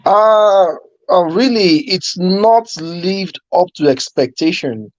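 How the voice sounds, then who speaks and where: A man from Nigeria calling in to give his opinion, speaking in a measured way with many fillers. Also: high voice, dry recording, lo-fi sound. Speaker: caller. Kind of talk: call-in opinion.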